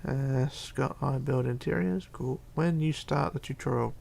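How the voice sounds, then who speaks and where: A man hosting a casual livestream, reading chat aloud and chatting in a rambling, halting way. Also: medium pitch, gravelly, darkish tone. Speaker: Minecraft livestreamer.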